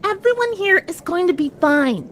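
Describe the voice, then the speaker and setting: A woman's voice: extremely high pitch, expressive, moderate pace, a bit bright. A woman voicing a brash, confident young female pegasus pony who teases, in a fan fiction audio story. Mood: cocky. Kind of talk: dramatized fan fiction reading.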